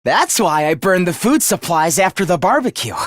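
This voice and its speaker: A young male video game traitor with a voice that swings widely in pitch. He is gloating, contemptuous and genocidal, delivering his villainy with theatrical menace. The sound is dry and close. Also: very animated, moderate pace, a bit bright, no echo. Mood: smug, coldly confessing. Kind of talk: video game voice acting, villain confession monologue.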